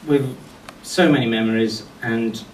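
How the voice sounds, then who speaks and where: Male eulogy speaker, anecdotal and measured with deliberate pauses; mid-pitched, recorded from afar in a noisy, echoing room. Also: steady tone. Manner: storytelling in short phrases with deliberate pauses. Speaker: friend giving a memorial tribute. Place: golf club function venue at a memorial service. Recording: echoey distant mic with background noise.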